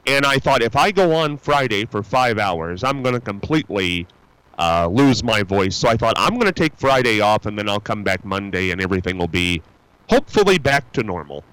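A man hosting a radio call-in show, talking in a loose, folksy ramble with plenty of ums and restarts. His pitch swings up and down as he talks. The sound is close and dry. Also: sing-song, moderate pace, a bit bright, clear, resonant tone, no echo, low-quality recording. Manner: rambling, chatty, with many fillers and restarts; upbeat and folksy. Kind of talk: casual call-in chat. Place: radio studio.

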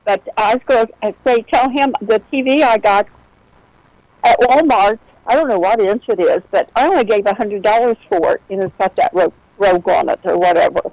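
A woman calling in to a radio show who wanders through her point with ums and pauses. Her voice sits at a mid pitch, and the sound is close and dry. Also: a little mellow, no echo, rough recording. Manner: meandering and chatty, with hesitations. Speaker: caller. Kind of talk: casual phone chat.

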